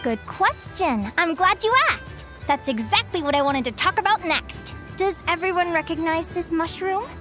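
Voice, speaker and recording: A woman voicing a young adventure advisor running a quiz, upbeat and teasing like a lively teacher, in video game dialogue. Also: extremely high pitch, moderate pace, hardly any pauses. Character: young female adventure advisor, bright and playful quizmaster. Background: game music.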